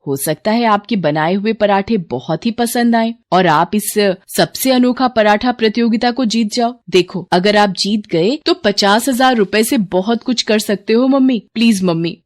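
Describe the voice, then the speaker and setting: A woman voicing an eager, encouraging college-age daughter in an animated moral story, with a coaxing, pleading manner. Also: on the high side, lightly acted. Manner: pleading, bright-spirited, coaxing.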